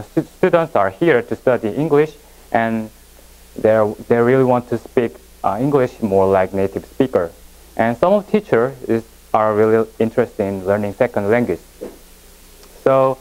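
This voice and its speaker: A Korean-accented man gives a classroom presentation in English, his second language. He speaks haltingly, restarting sentences and searching for words. His voice sits at a medium pitch for a man. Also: low-quality recording.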